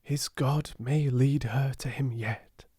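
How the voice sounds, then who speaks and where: A man voicing a humble, devoted Indian manservant telling things gently in a storybook, measured and deferential. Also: mid-range voice, steady tone, husky, no echo, clean studio sound, pitched above the performer's own voice. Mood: reverent.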